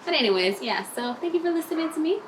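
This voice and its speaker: A woman's voice: high-pitched, lively intonation, fast, background noise. A young woman from Maryland, an emergency nurse, talking to the camera in a casual, upbeat and chatty way. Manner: upbeat, chatty, laughs often. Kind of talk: casual video application to camera. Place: indoors, plain room with panelled wall. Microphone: camera at arm's length in front of her.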